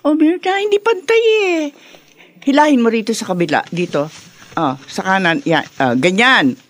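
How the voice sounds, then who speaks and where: An actress playing a proud, chatty, bustling middle-aged mother in a Filipino radio drama, animated and colloquial. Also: mid-range voice, moderate pace, faint music behind, close to an everyday voice. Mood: bossy, busy.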